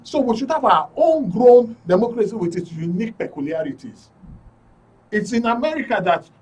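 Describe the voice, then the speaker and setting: A man's voice: sits high, expressive, normal speed, darkish tone, husky, far from the mic, rough recording. A man with a Nigerian accent hosting a live political discussion, arguing emphatically and repeating words for stress. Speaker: talk show host. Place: radio and TV studio.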